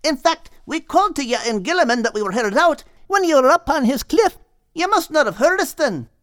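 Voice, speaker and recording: A man's voice: very high voice, very animated, brisk, fairly bright tone, dry recording, clean studio sound, voice pushed up, exaggerated voice. A man voicing a small, cheery, affectionate talking bird with folksy dialect in an audiobook. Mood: explaining. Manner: folksy dialect with terms of endearment. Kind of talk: audiobook character acting.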